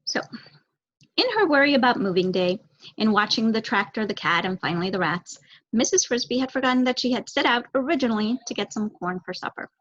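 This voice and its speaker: Animated young woman reading a story. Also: on the high side, brisk, lo-fi sound. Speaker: story reader. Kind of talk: expressive children's book read-aloud.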